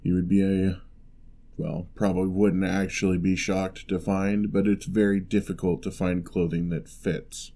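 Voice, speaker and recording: A man playing a lonely, gentle male giant in a fantasy ASMR roleplay, speaking in a measured, wry, slightly formal way. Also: low-pitched, level tone. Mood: matter-of-fact, bargaining.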